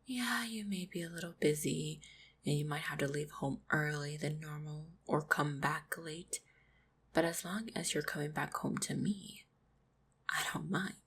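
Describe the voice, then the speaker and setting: Woman acting a low, husky, tender orc fiancée. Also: measured pace, heavily acted. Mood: reassuring, tender. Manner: tender, reassuring, softly spoken. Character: gentle, devoted female orc hunter, supportive fiancée. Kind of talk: roleplay audio drama, intimate monologue to the listener.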